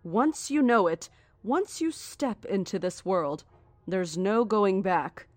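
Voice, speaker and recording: A woman playing a serene but menacing female cult leader in a horror audio drama, speaking in a deliberate, formal, condescending and grandiose way. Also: medium pitch, animated, darkish tone, dry recording. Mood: solemn warning. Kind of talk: horror audio drama acting, live radio address.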